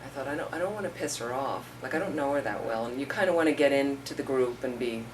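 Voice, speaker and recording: A woman with a low voice gives a relaxed teaching talk, chatting through stories and slipping into the voices of the people in them. She is heard from across the room on a distant mic, with a faint hum of room noise behind her. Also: fast talker. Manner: conversational, storytelling with acted-out quotes. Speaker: Buddhist teacher. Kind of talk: informal dharma talk.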